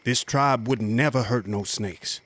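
A man's voice: mid-range voice, measured pace, fairly bright tone. A man playing a folksy frontier local telling spooky tales in a radio drama, ominous in manner, with a rural American accent. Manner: ominous, folksy yarn-spinning.

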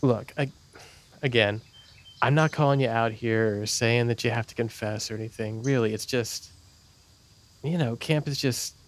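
A man's voice: mid-range voice, moderate pace, natural voice. Man playing an earnest, placating church camp counsellor. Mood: awkward, placating. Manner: hesitant, reassuring. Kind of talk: naturalistic audio drama acting.

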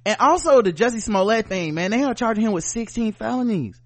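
An American man hosting a podcast, chatting casually and comedically with animated, profane riffing. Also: high-pitched, fairly quick, no room sound. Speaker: podcast host.

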